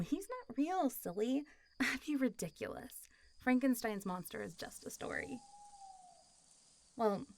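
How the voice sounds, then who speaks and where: A woman playing a friendly, teasing, centuries-old zombie girl in an intimate roleplay audio drama, talking in a casual, playful way. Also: medium pitch. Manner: casual, playful, teasing, often laughing and sighing.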